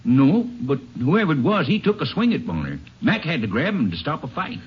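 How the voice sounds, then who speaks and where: A male actor playing a humble, folksy farmhand in an old-time radio drama, husky and hesitant, with drawn-out phrasing. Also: on the high side, sing-song, heavily acted. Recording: muffled old radio recording.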